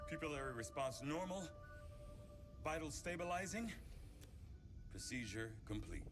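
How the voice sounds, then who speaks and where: An actor playing a proud, clinical chief scientist in a video game, speaking formally with precise, deliberate diction. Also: male voice, a little high, animated. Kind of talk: scripted video game cutscene acting. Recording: dramatic music behind.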